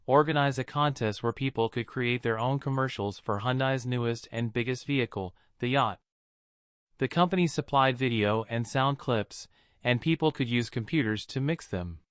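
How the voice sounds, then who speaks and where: A man's voice: medium pitch, normal speed, fairly bright tone, clear, resonant tone, no echo, studio quality. A man reading a scripted exam practice passage aloud.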